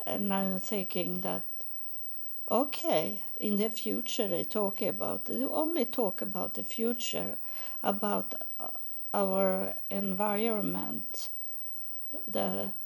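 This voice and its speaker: An older woman, a native Swedish speaker, talking in halting English with many pauses and fillers as she searches for words. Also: mid-range voice, creaky, a little mellow. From Sweden. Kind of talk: casual spiritual monologue.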